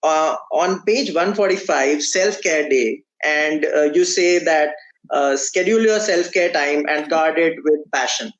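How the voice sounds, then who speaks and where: A man hosting a book podcast, asking hesitant questions with frequent fillers and pauses. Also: a little high, poor audio. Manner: hesitant, many fillers, frequent agreeing backchannels. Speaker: podcast host. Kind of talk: casual interview questions.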